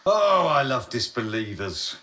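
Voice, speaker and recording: An actor playing a boastful, scheming scientist who runs the project, pushy and self-assured in a radio drama. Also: male voice, medium pitch, big pitch swings, unhurried, bright, forceful tone. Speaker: project leader.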